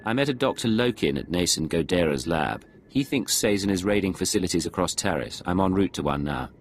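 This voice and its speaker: A man's voice: sits low, expressive, fairly quick, slight background noise. A male actor playing a curt, menacing imperial secret agent in a video game, with a cool, clipped delivery. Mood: businesslike. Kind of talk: scripted game cutscene dialogue.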